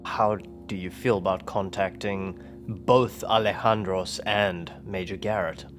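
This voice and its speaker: Man playing a measured, cautious investigator. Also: sits low, steady tone, faint music behind, pitched below the performer's own voice. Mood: cautious, questioning. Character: cautious, rational male investigator advising his partner. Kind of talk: tabletop roleplay.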